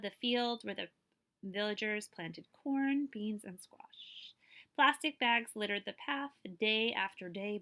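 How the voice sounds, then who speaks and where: A woman librarian reading a picture book aloud to children, with expressive storytelling and explanatory asides and questions for her listeners. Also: mid-range voice. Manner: expressive storytelling, pausing to check understanding with listeners.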